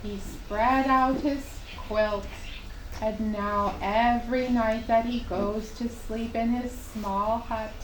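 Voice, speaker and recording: A woman tells a children's tale at a very slow, deliberate pace, her voice a little high. She sounds some way from the microphone in a roomy, echoing space, in the gentle manner of a puppet show storyteller. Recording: echoey, distant mic, faint hiss.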